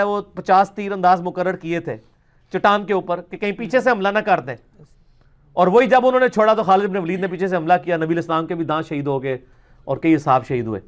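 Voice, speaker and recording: A man with a Pakistani Punjabi accent giving an informal religious question-and-answer talk, explaining emphatically in colloquial speech and checking that the listener follows. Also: high-pitched.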